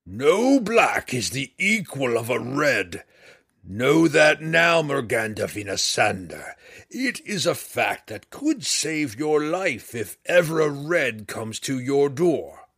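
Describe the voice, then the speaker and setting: A man voicing a huge, proud male red dragon who speaks in a slow, booming, menacing way in a storybook reading. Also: very animated, vocal fry, fairly bright tone, slightly rough, dry recording, clean studio sound, full character voice. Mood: stern.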